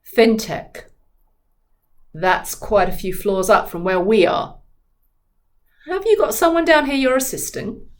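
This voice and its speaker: A woman's voice: on the high side, very animated, slow, long pauses. A woman voicing a tough, taunting male spy in a dramatic novel reading. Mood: guarded, probing. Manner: forceful, taunting. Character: tough, menacing male British spy interrogating a suspect.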